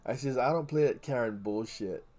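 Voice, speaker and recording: A man from Hawaii talks casually as a podcast co-host, telling stories in an animated, profane way. His voice sits at an ordinary middle pitch. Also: moderate pace. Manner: swears freely, animated storytelling. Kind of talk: casual chat, storytelling.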